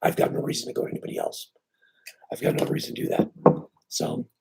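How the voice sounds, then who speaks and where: A man with a hoarse voice still weak after surgery, chatting casually and rambling on a live stream about his knife shop. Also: fast, mellow, a little hiss or hum, poor audio. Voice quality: very raspy, breaking into breathy near-whisper. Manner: rambling, easygoing, filler-heavy.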